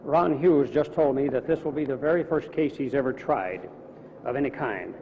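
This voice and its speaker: A man's voice: mid-range voice, moderate pace. A man with an American accent working as a news reporter, putting questions in a press interview. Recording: noisy lo-fi archival newsreel sound.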